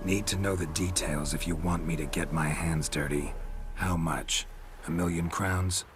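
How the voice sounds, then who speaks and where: A sceptical mercenary monster hunter in a video game. The voice is very deep and gravelly. He talks in terse, deadpan lines with a dry sardonic edge, with music behind him. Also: unhurried. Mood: blunt, probing. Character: gruff, sceptical male monster hunter for hire. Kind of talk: video game character acting.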